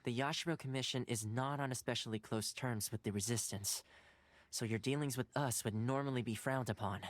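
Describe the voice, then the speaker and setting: A man voicing a calm, considerate young ally in a video game, explaining plans in a measured, articulate way. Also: mid-range voice, lightly acted.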